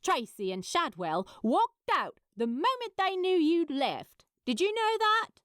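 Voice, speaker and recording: Storybook firebrand played by a woman: scornful, sneering female creator with very animated pitch. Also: a little slow, dry recording, clean studio sound, exaggerated voice. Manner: storming, sneering. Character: fierce, scornful, outspoken female children's show creator.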